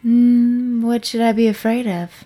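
Slow-talking, coolly alluring woman. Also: fairly high pitch, level tone, warm tone, soft background music. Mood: coy, amused. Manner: unhurried, wry, coy. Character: cool, alluring young female record company rep. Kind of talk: horror audio drama acting.

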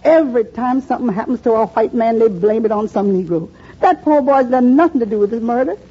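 A man's voice: very high voice, brisk, warm tone, slightly rough. A man playing a kindly, worried old woman landlady in a 1940s radio drama, speaking in a pleading, earnest way. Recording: muffled 1940s radio broadcast.

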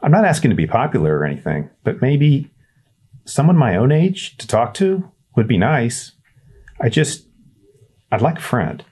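A man's voice: medium pitch, sing-song, moderate pace, darkish tone, slightly rough, pitched above the performer's own voice. A man voicing a shy, lonely new boy at school who talks hesitantly and apologetically. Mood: shy, hopeful.

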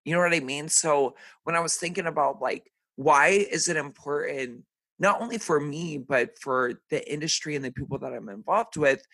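A man in his thirties hosting a podcast, chatting casually with lots of fillers, his voice deeper and raspier than usual today. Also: normal speed, bright, forceful tone, dry recording. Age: 30s. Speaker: podcast host. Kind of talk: casual chat.

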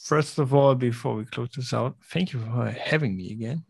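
A man speaking English as a second language as a podcast guest, halting and stuttering, with restarts. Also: mid-range voice, creaky, husky. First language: not English. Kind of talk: casual gaming chat.

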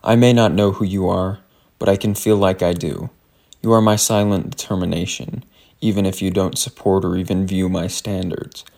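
A man reads a scripted letter aloud in an earnest, deliberate way. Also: sits low, steady tone, vocal fry, a little mellow. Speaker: reader. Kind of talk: scripted dramatic reading of a letter.

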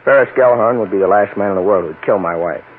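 An actor playing a weary, grieving husband who speaks haltingly in an old-time radio crime drama. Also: male voice, fairly low pitch, husky. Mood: subdued, guarded. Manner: halting, stammering. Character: grieving, weary husband whose wife was murdered. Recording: muffled, narrow-band old radio recording.

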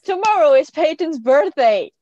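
A woman's voice: high-pitched, expressive, a little mellow, dry recording, lo-fi sound. A teenage girl in casual, teasing chat with a friend, giggling often. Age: teens. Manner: giggly, teasing, quick banter. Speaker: co-host.

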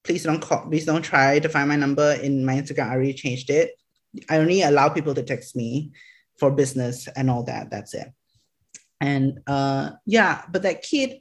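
A young man from Malaysia, chatting in an interview, rambling with lots of "like" fillers and frequent laughs. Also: mid-range voice. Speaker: social media creator, guest. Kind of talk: casual interview chat.

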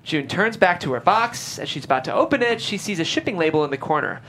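Low-voiced woman reading scene directions, lively inflection. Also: fast, a little hiss or hum. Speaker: stage-direction narrator. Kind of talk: scripted narration at a table read.

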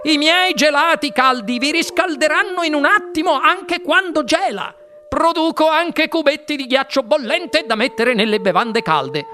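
A storybook narrator voicing an eccentric, excitable, impatient candy-factory owner in a theatrical, exclamatory way. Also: high voice, normal speed, pitched above the performer's own voice. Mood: gleeful, boastful.

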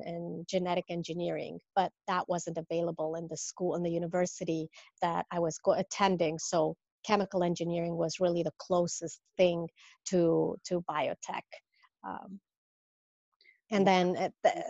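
A woman's voice: fairly low pitch, level tone, moderate pace, gravelly, dry recording, dull audio. A woman in her fifties from Mexico, a business coach, talking through her career in a casual interview with many fillers and restarts.

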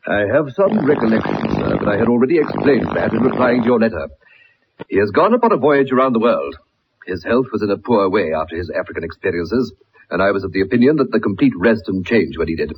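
An actor playing a stern, gruff old colonel in a radio drama, with a curt, pompous, formal manner. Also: male voice, fairly low pitch, very animated, fast, noisy background. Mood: stiff, dismissive. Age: older. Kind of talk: 1960s radio drama acting. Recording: muffled old radio broadcast recording.

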